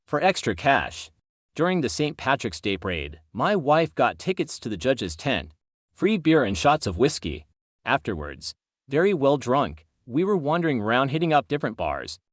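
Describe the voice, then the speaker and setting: A man's voice: mid-range voice, no echo, studio quality. A man narrating written stories as a host, acting out the dialogue as he goes. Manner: acts out quoted dialogue. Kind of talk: scripted story narration.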